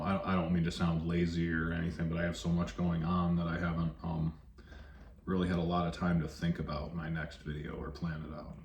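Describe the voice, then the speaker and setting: A man with a very low, deep voice talks in an easygoing, rambling way, full of fillers. He is recorded on a phone mic set away from him, so the sound is roomy, with faint ambient noise underneath. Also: a little mellow, recorded from a distance. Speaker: vlogger. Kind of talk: casual vlog chat. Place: at his workplace.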